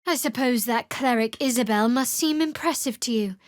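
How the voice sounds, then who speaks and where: A young female cleric of a dark goddess , acted by a woman, speaks in a fairly high voice. She is guarded and wry, with a cool, sardonic edge. It is scripted fantasy game dialogue, recorded very dry with no room sound. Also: normal speed, no room sound, natural voice. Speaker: video game character.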